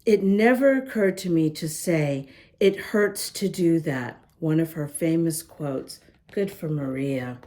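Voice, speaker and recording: Give me a gentle woman reading a storybook to children, unhurried and warm-toned. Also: low-pitched. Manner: gentle, slow, talks to young listeners. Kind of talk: reading a picture book aloud to children. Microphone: camera close up, showing the book.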